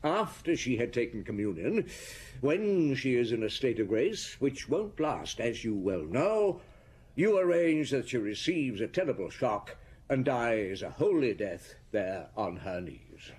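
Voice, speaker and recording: A male actor playing a shrewd, probing chief inspector in a radio drama, deliberate and sardonic, with a husky voice. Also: medium pitch, very animated, darkish tone, slight background noise. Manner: deliberate, theatrical, sardonic interrogator. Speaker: detective.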